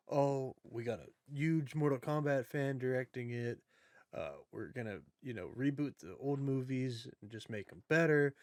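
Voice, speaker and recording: A man's voice: mid-range voice, no room sound. Casual male podcaster with a dry, close sound. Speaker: podcast host. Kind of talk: casual chat about movies.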